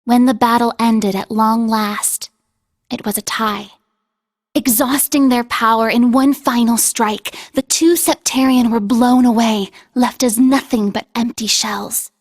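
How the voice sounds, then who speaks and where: A solemn lady telling an ancient legend in a game cutscene, slow, kinda high voice. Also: fairly even intonation. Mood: solemn, grave. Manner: solemn, measured storytelling. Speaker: storyteller in a video game cutscene. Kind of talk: scripted narration of a legend.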